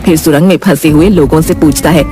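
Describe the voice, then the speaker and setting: A woman's voice: low-pitched, brisk, over music. A woman storyteller giving scripted narration of a Hindi tale.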